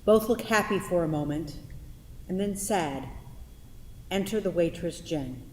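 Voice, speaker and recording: Lowish-voiced woman hosting in a hall. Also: lively intonation, measured pace, darkish tone. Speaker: theater director hosting and reading stage directions. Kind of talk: live event introduction and stage direction reading. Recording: reverberant hall, faint noise.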